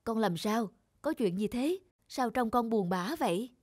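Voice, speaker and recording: Woman acting a fretful, high-voiced country mother. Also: lively intonation, measured pace, a little mellow, dry recording, clean studio sound. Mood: concerned. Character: worried, caring middle-aged country mother. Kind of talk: Vietnamese cartoon dubbing.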